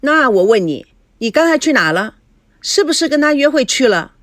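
A jealous, sharp-tongued mother in an audio story, acted by a woman narrator. She scolds and accuses with coarse sarcasm, her high voice swinging widely in a dry, close recording. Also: big pitch swings, moderate pace, no echo. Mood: sour, accusing. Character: jealous, shrewish mother, foul-tempered and suspicious.

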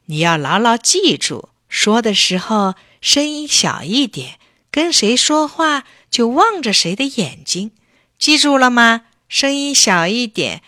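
A woman voicing a kindly, patient old grandfather in a children's story, gently coaxing and instructing. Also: a little high, no room sound, clean studio sound, pitched above the performer's own voice, exaggerated voice.

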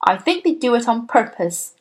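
A woman's voice: fairly high pitch, big pitch swings, moderate pace. A woman voicing a huge, bullying headmistress in a children's storybook, barking and snapping with imperious contempt for children. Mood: scornful.

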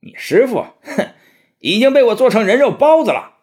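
A man voicing a mocking, cruel monster king in a storybook, pitched high and loud. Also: big pitch swings, moderate pace. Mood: gloating.

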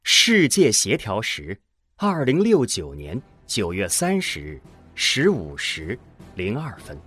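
A man narrating a scripted science fiction audio drama in a dramatic storytelling manner, landing phrase endings deliberately. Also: medium pitch, a bit bright. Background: music under the voice.

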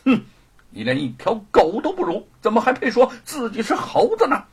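A man performs a condemned scholar from a storybook, proud and defiant. His voice is very husky and swings widely in pitch. He speaks with haughty, deliberate emphasis. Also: huge pitch swings, heavily acted. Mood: indignant.

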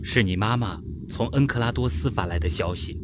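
An actor playing a tense, protective spaceship captain and uncle in a radio drama, commanding and earnest, with ambient noise behind him. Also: male voice, lowish voice, brisk, lightly acted. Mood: subdued. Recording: noisy cabin-like ambience. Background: steady ambient noise.

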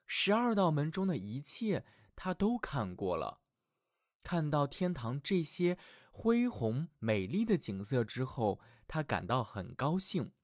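A man narrating a scripted fairy tale for small children as their storyteller, in an expressive, affectionate manner. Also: a little high, no echo, studio quality.

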